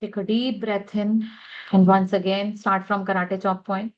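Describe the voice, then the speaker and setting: A woman leading a guided tapping session, speaking affirmations in a slow, measured way. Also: medium pitch, level tone, muffled recording.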